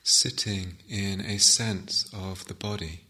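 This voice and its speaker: A man giving guided meditation instructions as a teacher, gently and unhurriedly, with long pauses. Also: low-pitched, fairly even intonation.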